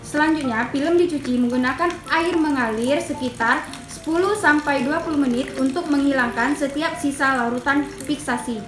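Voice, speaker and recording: A young woman with a high-pitched voice delivers a formal, scripted lesson as a student presenter. She sounds set back from the microphone in an echoey space, reciting carefully. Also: moderate pace. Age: young adult. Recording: echoey room, distant mic, music behind. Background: music.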